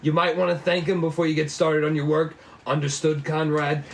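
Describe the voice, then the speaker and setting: A man's voice: fairly high pitch, level tone, fairly quick, gravelly, a little hiss or hum. A man voicing a stern, exasperated police boss in a noir cop drama, brusque and weary. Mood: stern, businesslike. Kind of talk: 1940s noir cop drama table read.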